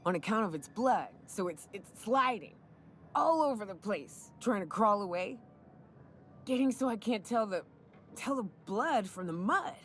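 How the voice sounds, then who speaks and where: A woman's voice: mid-range voice, lively intonation, a little slow, lightly acted. A woman voicing a drawling hungover frontier hunter. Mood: gritty, boastful. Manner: coarse, swearing, folksy. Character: rough, hard-drinking frontier woman hunter guide. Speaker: hunting guide. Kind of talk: video game voice acting. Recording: game audio with faint music.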